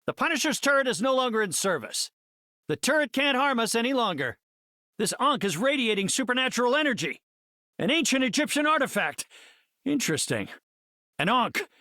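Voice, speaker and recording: An actor playing an earnest middle-aged scientist superhero in a video game, speaking in an articulate, cerebral, heroic way. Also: male voice, very high-pitched, sing-song, a little fast, sharp tone, no room sound, clean studio sound, full character voice. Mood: assured, analytical. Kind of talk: video game hero voice lines.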